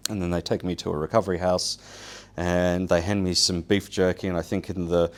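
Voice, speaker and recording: Very low-pitched man telling informal stories. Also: level tone, fairly quick. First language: Polish. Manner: casual, self-deprecating, wry. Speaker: guest speaker, survival show winner. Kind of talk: informal first-person storytelling to an audience.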